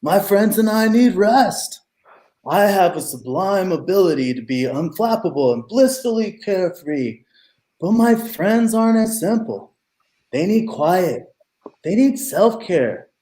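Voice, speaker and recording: Radio play hero, laid-back yet solemn, unhurried delivery, roomy video-call sound; male performer. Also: sits high, expressive, warm tone. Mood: earnest. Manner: deliberate, earnest. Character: carefree, unflappable male hero pal, solemnly earnest. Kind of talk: audio drama acting.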